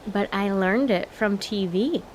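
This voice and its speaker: An actress playing an exasperated grown daughter with dry comebacks in a comedic audio play. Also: female voice, mid-range voice, measured pace, a little mellow, a little hiss or hum, lightly acted, poor audio. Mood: wry, softer.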